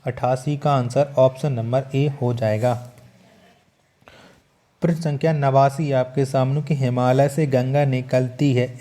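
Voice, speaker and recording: A man's voice: medium pitch, mellow. A man teaching an exam-prep lesson, reading out questions and answers and repeating key points for emphasis in an instructional way.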